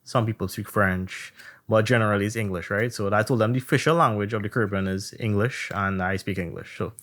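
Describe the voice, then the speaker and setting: A man from Trinidad, co-hosting a casual chat and telling stories with animated delivery. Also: fairly low pitch, brisk. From Trinidad and Tobago. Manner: animated storytelling, acts out others' questions. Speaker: podcast co-host.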